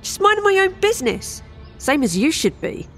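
A defiant, confrontational woman in an audio drama. Her voice is very high and leaps wildly up and down. She is brash and hostile, ready to snap back. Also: big pitch swings, moderate pace, fairly bright tone, faint music behind, heavily acted.

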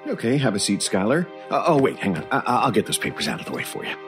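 A low-pitched, awkward male researcher over faint music. He is earnest and apologetic, fumbling through his words in naturalistic radio drama style. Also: sing-song, quick pace. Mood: flustered, polite. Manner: hesitant, fumbling, conciliatory. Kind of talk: naturalistic audio drama acting.

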